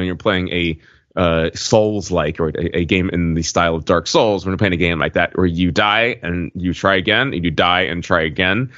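Male podcast co-host, very low voice, discursive and casual, muffled audio. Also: pushed and bright. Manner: wordy, discursive, hesitant explaining with many asides. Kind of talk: casual podcast chat, explaining video game slang. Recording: muffled audio in an echoey room.

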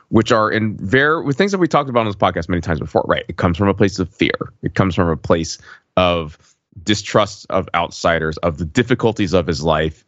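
A man co-hosting a podcast, recapping in casual conversation and building his point haltingly, phrase by phrase. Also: low voice, a bit bright, no echo, dull audio. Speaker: podcast co-host.

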